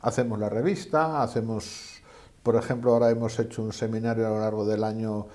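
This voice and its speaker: A retired older man from Asturias answers questions as an interview guest. His voice is dark and mellow. He hesitates and restarts often, and he plays down his own achievements. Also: lowish voice, fairly even intonation, brisk. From Asturias, Spain. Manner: modest, hesitant, self-deprecating. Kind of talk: conversational interview.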